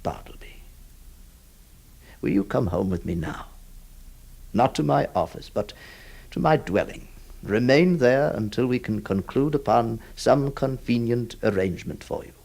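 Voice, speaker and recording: An actor playing a troubled, kindly lawyer who narrates and stammers with many hesitations. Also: male voice, mid-range voice, expressive, unhurried, gravelly, long pauses, darkish tone. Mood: resigned. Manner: hesitant, stammering, full of uh's. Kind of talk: old radio drama acting.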